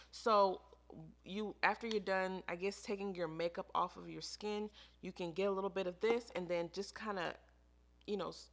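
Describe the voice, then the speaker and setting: A woman's voice: mid-range voice, fairly bright tone. An American woman chatting casually to camera as a beauty vlogger, in an informal, emphatic way that repeats phrases for emphasis. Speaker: beauty vlogger reviewing products.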